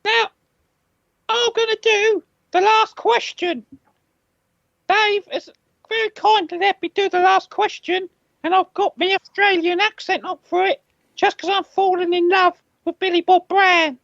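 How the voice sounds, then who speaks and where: A woman's voice: very high-pitched, fairly even intonation, unhurried, creaky, fairly bright tone, no echo, lo-fi sound. A woman co-hosting a comedy podcast, teasing and chatty as she keeps score. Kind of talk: comedy quiz chat.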